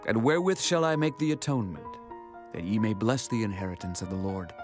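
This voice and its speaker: A man's voice: lowish voice, lively intonation, quick pace, darkish tone, close to an everyday voice. A man playing an earnest, devout king, speaking solemnly in a dramatized scripture reading. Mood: earnest, conciliatory. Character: King David, earnest, devout male ruler. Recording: music behind the voice.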